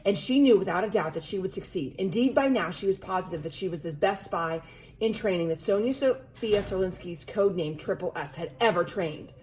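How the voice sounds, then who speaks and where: A woman's voice: fairly low pitch, a little fast, darkish tone, rough recording. A woman reads a children's story aloud expressively, engaging young listeners with teacherly asides and questions.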